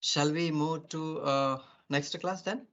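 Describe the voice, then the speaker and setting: A man's voice: on the high side, steady tone, takes it slowly, fairly bright tone. Brief: male teacher, rambling lecture full of "you know", dull lo-fi sound. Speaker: soft skills teacher. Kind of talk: online class lecture. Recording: dull lo-fi audio.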